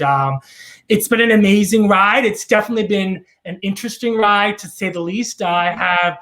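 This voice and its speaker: A man's voice: on the high side, a bit bright. A man in his thirties with a New York accent, a real estate broker giving casual, rambling interview answers full of ums and restarts. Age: 30s.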